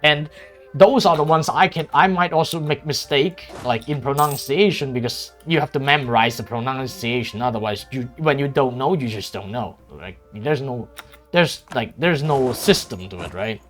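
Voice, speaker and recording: A man's voice: medium pitch, normal speed, fairly bright tone, soft background music. A man whose first language is Cantonese, chatting casually while streaming a strategy game, rambling with many restarts and frequent swearing.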